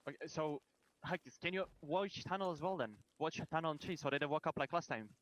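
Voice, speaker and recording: Brief: male player, rapid-fire orders to the team. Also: a little high, a bit bright, rough recording. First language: Russian. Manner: directive, giving orders. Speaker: esports player. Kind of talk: team voice comms.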